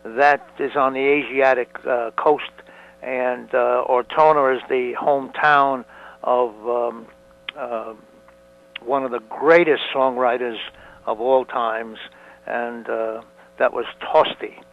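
An elderly man in his nineties answers slowly, stopping often with fillers and restarts. His first language is Italian and he is hard of hearing. The recording is dull and muffled. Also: mid-range voice, steady tone, gravelly. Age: 90s. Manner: slow, halting, many fillers and restarts. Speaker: museum founder. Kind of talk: interview answers.

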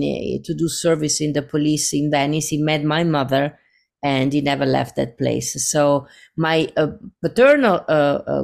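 A woman from Italy with an Italian accent, a singer-songwriter talking as a guest in a casual, animated interview. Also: low voice, gravelly. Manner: animated, rambling, laughs easily.

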